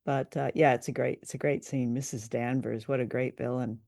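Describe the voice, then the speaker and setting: A woman's voice: rumbling low, steady tone, brisk, creaky, dark tone, dry recording. Woman podcast co-host, casual arts chat, keen on what she's discussing, really dry sound. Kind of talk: casual film and radio drama discussion.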